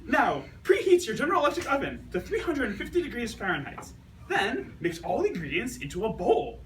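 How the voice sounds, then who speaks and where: A woman plays a dainty, cheerful 1920s housewife hosting a radio cooking show. Her pitch swings extremely widely as she gives theatrical instructions. The amateur recording is a little distant, with faint noise. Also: huge pitch swings, brisk.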